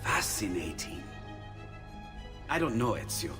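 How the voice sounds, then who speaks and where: An actor playing a friendly, excitable Renaissance inventor in a video game, flustered and animated, with an Italian accent. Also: male voice, on the low side, unhurried, long reverb tail, over music. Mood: absorbed, muttering. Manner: animated, flustered, muttering to himself. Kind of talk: video game cutscene acting.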